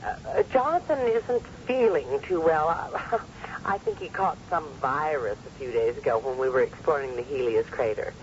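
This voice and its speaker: A woman playing a nervous, evasive scientist's wife in a 1980 radio drama, halting as she offers reassurance. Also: expressive. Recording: dull lo-fi radio recording, faint rumbly noise.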